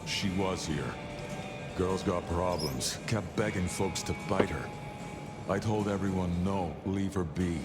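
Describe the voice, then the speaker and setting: Game role: easygoing underworld club owner, deep unhurried drawl, loud music underneath. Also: male voice. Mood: casual, wry. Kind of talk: video game voice acting.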